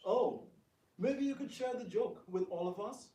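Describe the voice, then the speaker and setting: Noble, stubborn prince male actor, high-pitched, formal and firm; echoey stage reading. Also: moderate pace, mellow, natural voice. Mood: composed. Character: dignified, stubborn divine prince with quick temper. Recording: echoey room, distant microphone.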